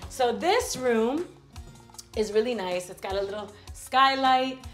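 A woman in her thirties from New York, giving a casual, playful house tour vlog in a bright home, recorded on a camera mic. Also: on the high side, faint music behind. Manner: chatty, playful, drawn-out asides. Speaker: vlog host.

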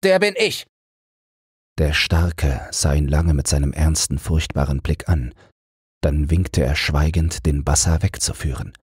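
Male fairy-tale narrator for bedtime audiobooks: deep register, slightly rough grain, scripted storytelling. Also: steady tone, unhurried, darkish tone, no room sound, clean studio sound.

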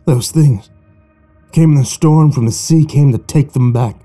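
A man's voice: mid-range voice, measured pace, mellow, breathy edge, faint music behind. A man voicing a wasted, dying fisherman who speaks in weak, gasping, broken phrases. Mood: haunted, gasping. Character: dying male fisherman, gaunt, gasping and desperate.

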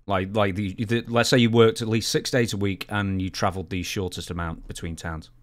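Young guy co-hosting a podcast, lowish voice, casual chat on a close studio mic. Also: steady tone, a little fast. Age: young adult. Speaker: podcast co-host. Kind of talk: casual conversation. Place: small home studio room, indoors. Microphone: studio microphone up close.

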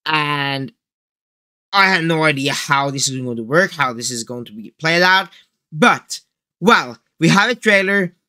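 A man's voice: fairly high pitch, lively intonation, measured pace, pushed and bright. A teenage young man casually chatting as a reaction video host, rambling with hesitant phrasing. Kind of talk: casual trailer reaction chat. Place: small indoor room full of collectibles.

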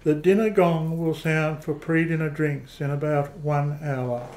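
A stately old butler acted by a man for an amateur radio play. He is deferential and unflappable, speaking slowly in a slightly high voice. The sound is roomy. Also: fairly even intonation, a little mellow, lightly acted. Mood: formal welcome. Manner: formal, deliberate, deferential.

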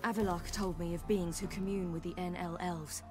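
A woman's voice: fairly low pitch, steady tone, normal speed, natural voice. A woman playing a determined young warrior in a fantasy game, with a British accent and earnest, formal phrasing. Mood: measured, explaining. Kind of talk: cinematic fantasy game acting. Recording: music behind the voice. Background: game music.

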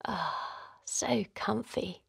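A woman gently guiding a children's relaxation in a soothing, encouraging way, her voice softly breathy and hushed at times. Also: medium pitch, drawn-out words, no echo, clean studio sound. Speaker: host of children's guided relaxation. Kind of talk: guided meditation narration for children.

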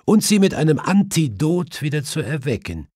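A man's voice: fairly high pitch, big pitch swings, moderate pace, a little mellow, slightly rough, no room sound, studio quality, voice pushed up. A man voicing a clever, self-assured male detective who explains things precisely in an audiobook. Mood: assured explaining. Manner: precise, explanatory, faintly mischievous.

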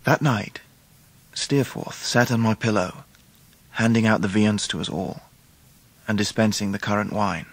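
An English actor playing a grown man recalling his schooldays, narrating a radio drama in a measured, literary, reflective way. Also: fairly low pitch, steady tone. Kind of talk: scripted first-person narration in radio drama.

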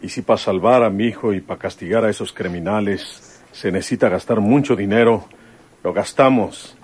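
A man's voice: lowish voice, moderate pace, darkish tone, husky. An actor playing a humble rural lawman in an old Mexican radio drama, with a northern Mexican accent and a folksy, deferential manner. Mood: determined.

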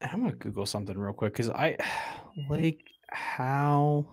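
A man in his thirties speaks as a casual podcast host. His pitch sits in an ordinary middle range. He is blunt and informal, leaning on words for emphasis. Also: animated, measured pace, darkish tone. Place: indoor room at a desk, on a video call. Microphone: close boom-arm podcast microphone.

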